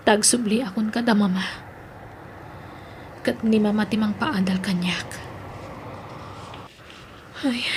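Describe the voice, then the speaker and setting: A woman's voice: on the high side, noisy background. A woman acting a distraught young student in an Ilocano audio drama, with an emotional, melodramatic delivery. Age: young adult. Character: distraught young woman student, pleading, torn between lovers. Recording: music bed under the voice.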